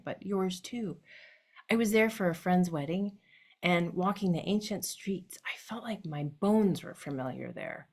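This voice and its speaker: A woman's voice: mid-range voice, a little mellow. A woman performing a reflective autobiographical monologue on stage, speaking directly to her grandfather.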